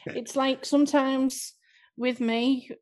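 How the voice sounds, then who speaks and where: A woman's voice: high-pitched, level tone, slow pace, darkish tone. A woman from near Liverpool with a light Scouse twang, a guest telling candid stories in a casual chat. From near Liverpool, North West England. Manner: candid, chatty storyteller. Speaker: improv performer, guest. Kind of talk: casual conversation.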